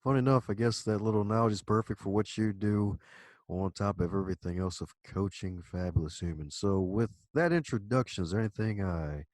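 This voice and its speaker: A man's voice: on the low side, brisk, a little mellow, dry recording. Upbeat, jokey male podcast host. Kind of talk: casual podcast interview.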